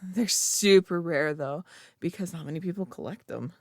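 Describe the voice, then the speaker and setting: A woman's voice: on the low side, lively intonation, moderate pace, no room sound, studio quality. A young woman voicing a nerdy friend who rambles eagerly about her tabletop hobby, full of "like" and giggles, in a scripted roleplay. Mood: rambling eagerly. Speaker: voice actor in an audio roleplay. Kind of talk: scripted roleplay monologue to the listener.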